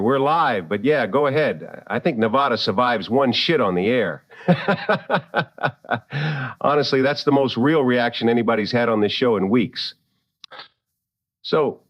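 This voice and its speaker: A man playing a composed late-night radio call-in host in an audio drama, measured, probing and courteous, in a slightly dull recording. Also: mid-range voice, animated, no echo. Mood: amused, reassuring.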